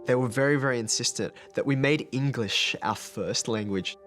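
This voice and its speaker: A man's voice: mid-range voice, moderate pace. Earnest male teacher. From Australia. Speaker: maths teacher. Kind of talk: documentary interview. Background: background music.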